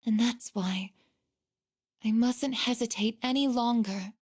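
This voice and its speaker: Game role: gentle female android, tender and hesitant, very unhurried, lightly raised pitch, earnest devotion. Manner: soft, hesitant, deliberate, tender. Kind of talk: video game voice acting, emotional dialogue.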